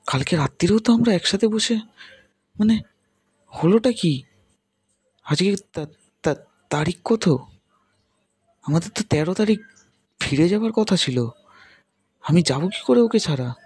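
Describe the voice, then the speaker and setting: Narrator acting a husky, distraught young man. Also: a little high, darkish tone, no echo, pitched above the performer's own voice, heavily acted. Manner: halting, pleading, agitated. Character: distraught, frightened young man pleading for his friend.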